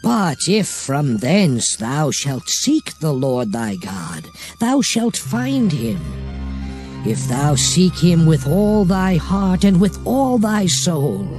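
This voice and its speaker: Casting: grave old male prophet for dramatized scripture, high-pitched for a man, widely swooping declamation. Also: sing-song, a little mellow. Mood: solemn exhortation. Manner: grave, deliberate, declamatory oratory. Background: music.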